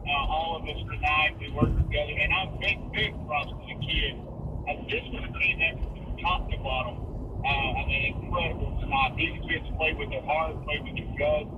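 Halting football coach on muffled radio. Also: male voice, fairly high pitch, heavy background noise. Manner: halting, many restarts. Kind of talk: post-game radio interview. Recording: flat, muffled radio from far off.

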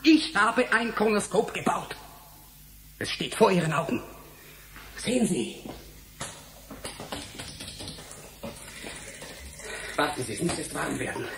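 A man's voice: high-pitched, very animated, normal speed, gravelly, noisy background, heavily acted. A man playing an earnest, blunt young scientist in a German radio drama, explaining things emphatically and impatiently. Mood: insistent.

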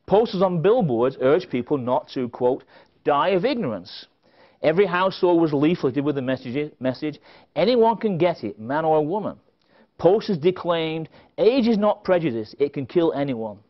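A middle-aged man speaks from a conference podium in a fairly high, very animated voice. He delivers a prepared lecture with wry asides and pointed emphasis. The recording sounds a little dull. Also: moderate pace. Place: indoor stage at a conference podium. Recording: slightly dull, band-limited event recording.

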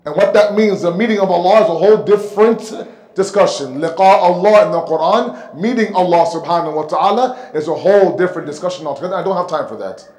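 Male preacher, high-pitched voice, emphatic sermon delivery, repeats for stress, roomy echo. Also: fast talker, darkish tone. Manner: emphatic, with repetition for stress and rhetorical questions. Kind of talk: sermon lecture. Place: indoor mosque hall, seated at the pulpit. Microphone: microphone on a stand close in front. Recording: echoey hall sound.

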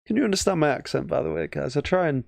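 A man's voice: on the high side, expressive, quick pace, vocal fry, a little mellow, no room sound. A man with an English accent giving casual, thinking-aloud game commentary as a chess streamer, often muttering half-finished thoughts. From England.

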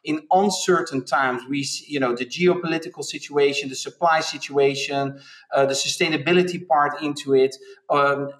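A man's voice: fairly high pitch, fairly even intonation, fairly bright tone, recorded from a distance. Middle-aged man on a roomy video call. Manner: reflective, halting with restarts. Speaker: interviewed business leader. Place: home room indoors. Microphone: laptop on a video call.

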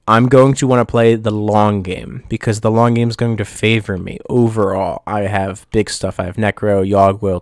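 A young man hosting his channel, giving relaxed gameplay commentary, his voice a bit off from getting over the flu, thinking aloud with pauses and restarts. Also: fairly low pitch, no room sound, studio quality. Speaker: YouTube channel host. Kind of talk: casual gameplay commentary over screen recording.

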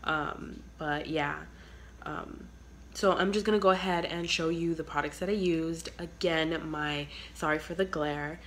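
Young woman, low voice, sick and congested, casual chatty beauty vlogger on a phone, trails into whispers. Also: fairly even intonation, a little slow, a bit bright, slight background noise. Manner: chatty, filler-heavy, trails off to near whispers. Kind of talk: casual makeup tutorial chat. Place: small bedroom at home. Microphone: phone camera at arm's length.

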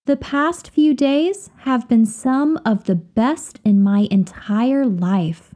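A woman reading example sentences slowly and carefully, repeating each phrase for learners to copy. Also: a little high, mellow. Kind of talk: slow, clear drill reading of example phrases.

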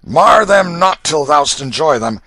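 A man's voice: on the high side, very animated, measured pace, gravelly, sharp tone, dry recording, pitched above the performer's own voice, exaggerated voice. A man voicing a brutish, hot-tempered ruffian father in a storybook, roaring and bullying in archaic English. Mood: mocking. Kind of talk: dramatic storybook reading.

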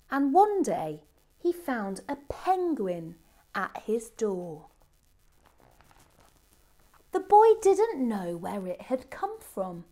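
A middle-aged woman reading a children's storybook aloud expressively, with deliberate dramatic pauses. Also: medium pitch, warm tone. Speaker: storybook reader. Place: indoor room by a Christmas tree. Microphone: camera a short distance away.

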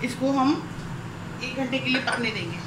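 High-voiced woman explaining a recipe. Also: moderate pace. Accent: Pakistani Urdu. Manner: calm step-by-step explaining. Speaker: cooking channel host. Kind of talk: cooking demonstration voice-over. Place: home kitchen. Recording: echoey kitchen with sizzling noise.

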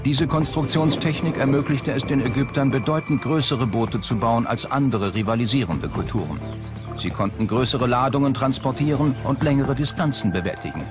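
A man reading scripted documentary narration in a measured, factual manner. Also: medium pitch. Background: background music.

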